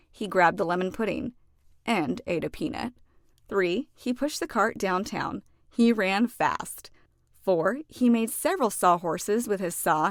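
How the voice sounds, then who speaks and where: A woman reads a children's storybook aloud. Her narration is expressive and deliberately phrased, leaning on key words for drama. Her pitch is mid-range and the sound is dry, with very little room. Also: dry recording.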